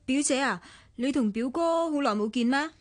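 A sharp-tongued young Hong Kong Cantonese woman in a radio drama, sarcastic and lecturing. Her high voice swings up and down. The sound is close and dry. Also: lively intonation, moderate pace, no room sound. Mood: surprised. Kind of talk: 1990s radio drama acting.

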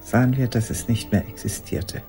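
An actress playing an old woman haunted by a lost love, speaking in a halting, intimate radio drama monologue. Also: a bass voice, dark tone, faint music behind. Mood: haunted, hushed. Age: older. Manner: halting, intimate, sometimes dropping to a whisper.

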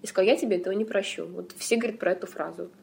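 A woman's voice: mid-range voice, rapid-fire, vocal fry, darkish tone. A woman giving a spontaneous personal account as an interviewee.

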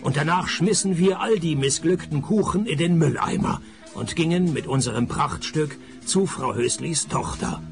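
A wisecracking private investigator narrating a radio drama. His gravelly voice sits a little high for a man and moves animatedly, while the manner stays dry and sardonic. Faint music can sit behind him. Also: normal speed. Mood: deadpan narrating. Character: cynical wisecracking male private detective, narrating his case. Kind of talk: radio play narration and dialogue.

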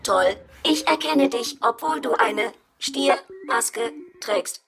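High-pitched, stilted robot. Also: female voice, slow, creaky, a bit bright, heavily acted. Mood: stern, matter-of-fact. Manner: stilted, officious, stuck repeating words. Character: strict household robot with glitching circuits. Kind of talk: children's radio play acting. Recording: music behind.

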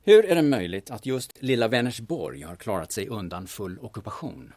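An actor playing a brash, flirtatious man from the big city who talks in a smooth, cheeky, insinuating way in an audio drama. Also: fairly low pitch, very animated, a little mellow, no echo. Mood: smooth, probing. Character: brash, flirtatious Stockholm man posing as auction-house buyer.

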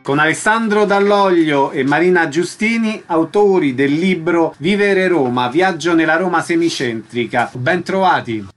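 A man's voice: a little high, expressive, moderate pace, hardly any pauses, soft background music. A man hosting a radio interview in speech, putting short questions and reading announcements.

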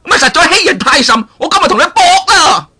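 Loud squawky villain, crazy high and yelling, all over the place. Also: male voice, lively intonation, a little fast, heavily acted. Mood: indignant. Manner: shouting, squawking. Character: brash loud-mouthed male villain, boastful, quarrelsome.

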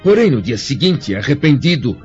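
Male actor as dignified imprisoned senator, grave Brazilian radio-play delivery, mid pitch, muffled recording. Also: sing-song, moderate pace, darkish tone, close to an everyday voice. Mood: grave, reflective. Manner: formal, grave, theatrical. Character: dignified, troubled Roman senator held prisoner. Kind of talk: Brazilian radio drama acting. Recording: dull lo-fi radio drama, faint music.

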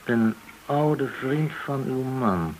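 An actor in a Dutch radio play playing a menacing gangster interrogator, controlled and commanding, full of veiled threats. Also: male voice, medium pitch, expressive, extremely slow, a little hiss or hum, poor audio. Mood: probing.

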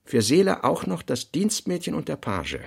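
A man reading scripted literary notes for a radio play, in measured, fragmentary phrases. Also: on the high side, huge pitch swings, creaky, breathy edge. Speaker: reader of a writer's working notes.

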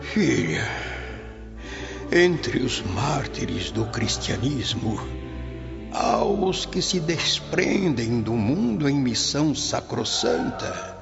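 Gravelly old brazilian grandpa ghost, solemn radio play voice, swooping slowly over music. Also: male voice, medium pitch, heavily acted. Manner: solemn, preaching consolation in measured phrases. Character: luminous spirit of an old grandfather, tender and solemn. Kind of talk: Brazilian radio drama acting. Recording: dull lo-fi audio under music.

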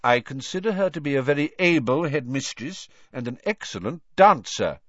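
A man voicing a calm, courteous old headmaster in an audiobook, with measured, quiet delivery. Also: mid-range voice, no room sound, dull audio, pitched above the performer's own voice, natural voice. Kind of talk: audiobook character acting.

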